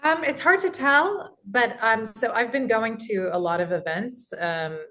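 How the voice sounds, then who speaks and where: A woman's voice: a little high, unhurried, pushed and bright. A woman from Quebec, an actress, answering interview questions about her play in an upbeat way, with frequent laughs and fillers. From Quebec, Canada. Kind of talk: interview guest.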